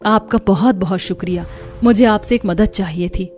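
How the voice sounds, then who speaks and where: A woman's voice: mid-range voice, normal speed, darkish tone, lightly acted. A woman voicing a kind, humble, hardworking young village mother in a cartoon. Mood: humble, reassuring.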